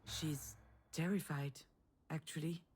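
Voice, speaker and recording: A woman's voice: low-pitched, fairly even intonation, takes it slowly, darkish tone, breathy edge, heavily acted. An actress playing an introspective, wounded adult woman, a protective older sister, narrating her thoughts in a confiding, dramatic way. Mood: tender, resolute. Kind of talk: introspective scripted game narration. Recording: music under the voice.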